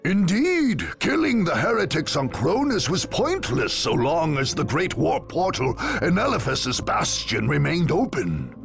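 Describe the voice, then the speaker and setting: An actor playing a grave narrator of a war chronicle in a video game, delivering dramatic scripted lines in a portentous, measured way. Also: male voice, on the high side, huge pitch swings, creaky, over music, full character voice. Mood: grave, ominous.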